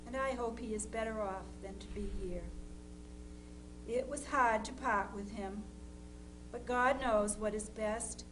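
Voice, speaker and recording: A woman playing a grieving, devout nineteenth-century New England mother, reading her letter aloud in a measured, solemn, earnest way. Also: fairly high pitch, lightly acted. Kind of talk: dramatized reading of a historical letter. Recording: noisy amateur live recording with hum.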